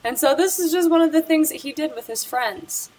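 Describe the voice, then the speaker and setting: A woman's voice: high-pitched, expressive, a little fast, darkish tone. A young woman presenting a school project interview, reading a prepared script about the person she talked with. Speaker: student presenter.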